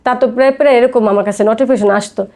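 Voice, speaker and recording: Female podcast guest, Bangladeshi accent, fairly high mellow voice, quick conversational storytelling. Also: fairly even intonation. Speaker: podcast guest telling her life story. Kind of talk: personal storytelling in casual talk.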